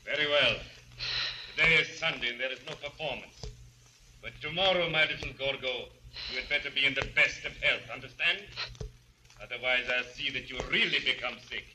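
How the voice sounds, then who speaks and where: A man's voice: medium pitch, fairly quick, noisy background, close to an everyday voice. A man playing a cruel, domineering big showman, bullying and mock-polite, in 1940s radio villain style. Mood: menacing. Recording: old 1940s radio recording with music.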